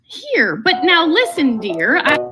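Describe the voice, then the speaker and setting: A woman's voice: high-pitched, wildly swooping pitch, takes it slowly, over music, heavily acted. A woman playing a patient, polite, long-suffering wife in an old-time radio comedy. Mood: patient, interrupted.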